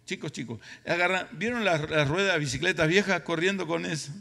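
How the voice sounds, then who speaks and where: A man's voice: a little high, a little fast, creaky, bright, forceful tone. A middle-aged or older man with an Argentine Spanish accent preaching emphatically, repeating phrases for effect. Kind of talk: sermon. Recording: reverberant hall sound.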